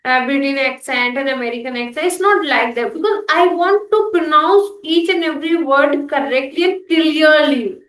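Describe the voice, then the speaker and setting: A woman's voice: high-pitched, moderate pace, hardly any pauses, a bit bright, reverberant, distant mic, rough recording. A young woman with an Indian accent chatting casually in English, hesitant with many fillers and restarts. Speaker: host, English learner. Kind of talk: casual practice conversation.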